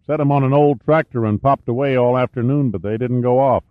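Man putting on a folksy, lively-pitched small-town good old boy. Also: brisk, natural voice. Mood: folksy, matter-of-fact. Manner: rustic ungrammatical speech, exclamatory. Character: folksy small-town veterans' post man, friendly, canny. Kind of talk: audiobook character dialogue. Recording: dull, roomy, echoey sound.

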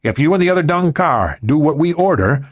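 A man's voice: fairly high pitch, big pitch swings, a little fast, pitched above the performer's own voice. A man doing a curt mercenary commander. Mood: commanding. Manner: clipped, commanding orders.

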